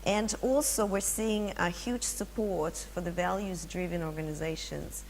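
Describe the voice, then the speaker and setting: A woman's voice: lowish voice, steady tone, unhurried, a little mellow. Russian-accented woman presenting in a hall. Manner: many fillers and restarts, engages audience with questions. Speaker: conference speaker. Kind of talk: business presentation. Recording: long hall reverb, faint hum.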